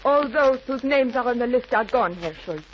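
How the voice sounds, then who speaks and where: A dignified, defiant schoolteacher played by a woman speaks formally and earnestly in a high voice. It is 1940s radio acting on a muffled, noisy old recording. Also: animated, moderate pace, close to an everyday voice.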